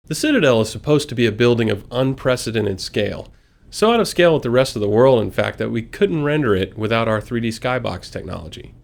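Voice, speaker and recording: A man's voice: medium pitch, expressive, brisk, vocal fry. A man delivering scripted technical commentary as a game developer.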